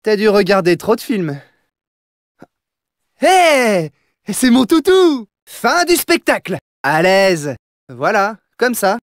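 A young, plucky gentleman thief in a French video game dub. His delivery is showy and cocky but loyal at heart, built on punchy catchphrases. The pitch swings in a lively way, and the sound is close and dry. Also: slow pace, long pauses, dry recording, full character voice. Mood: upbeat, cocky.

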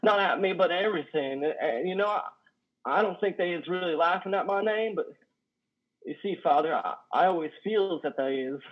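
A man in his thirties playing a simple, wounded, lonely trucker with halting, folksy, ungrammatical speech in a play reading. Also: sits high. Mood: nervous, confiding. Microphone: headset on a video call. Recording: dull video call audio.

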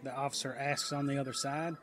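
A man's voice: medium pitch, little pitch movement, brisk. A man reading scripted narration for a superhero audiobook story. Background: music.

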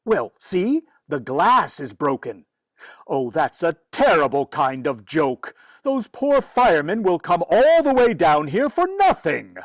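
A woman's voice: on the low side, very animated, a little slow, no echo. A woman playing an exasperated, easily flustered father in an old-time radio comedy. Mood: indignant.

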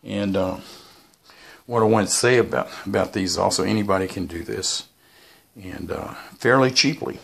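Low-pitched man, rambling casual vlogger. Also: moderate pace, vocal fry, slightly rough. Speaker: YouTuber presenting his radio antennas. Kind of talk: casual unscripted product show-and-tell.

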